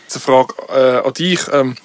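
A man from Switzerland with a Swiss German accent, co-hosting a podcast in a relaxed, reflective way and chuckling often. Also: medium pitch, moderate pace. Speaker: podcast co-host. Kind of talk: casual conversation.

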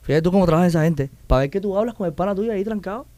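A man's voice: high-pitched, rapid-fire, no echo. A young man from Cuba with a Cuban Spanish accent, telling stories animatedly as a guest in a casual interview, often acting out other people's voices. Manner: animated, acts out quoted voices, restarts often. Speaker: guest artist.

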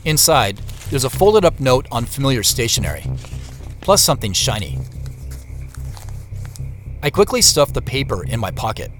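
A man on a secret treasure hunt, telling it as it happens. His mid-pitched voice swings expressively as he confides his unease. It is audio drama narration, with faint music underneath. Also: sing-song, moderate pace, pauses often, a bit bright. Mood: tense, guarded. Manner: confiding, present-tense storytelling. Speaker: narrator and main character.

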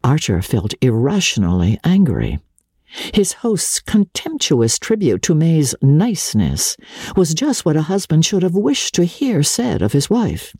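A woman giving a measured, expressive and theatrical reading of literary fiction as an audiobook narrator. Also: low voice, slightly rough, no room sound, clean studio sound. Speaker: audiobook narrator and radio presenter.

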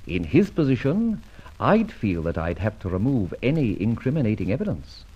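A man playing a suave, wry and commanding gentleman adventurer in a vintage radio adventure serial. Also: lowish voice, very animated, moderate pace, breathy edge. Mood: brisk reasoning. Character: suave, self-assured gentleman adventurer and amateur sleuth. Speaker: narrator and lead actor. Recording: dull old radio recording, music behind.

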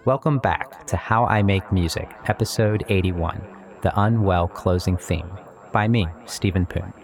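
Low-voiced man presenting over music. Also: a little slow. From Ohio, United States. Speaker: composer, guest presenter. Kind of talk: music podcast narration.

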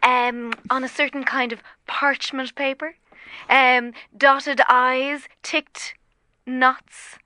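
Woman doing a giddy flirty caller, high bright voice, dry sound. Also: flat delivery, no echo, heavily acted. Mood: coy. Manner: coy, halting, with many ums. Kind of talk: improvised comedy call-in.

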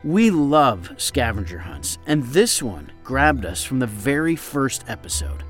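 A man's voice: medium pitch, very animated, a little slow, soft background music. A man hosting a podcast, reading a scripted recommendation in a conversational way that addresses the listener directly. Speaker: podcast host.

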